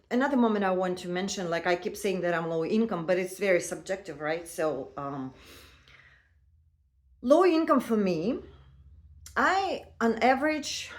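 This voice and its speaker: Woman in her fifties telling her story. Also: on the low side. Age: 50s. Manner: conversational, halting, with many fillers and non-native phrasing. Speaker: vlogger. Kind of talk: casual personal storytelling.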